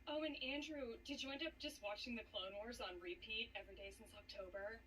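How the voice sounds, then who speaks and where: A woman's voice: a little high, brisk, fairly bright tone, far from the mic, low-quality recording. A woman competitor trash-talking in a taunting, mock-sweet manner. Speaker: trivia competitor. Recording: off-mic, faint background noise.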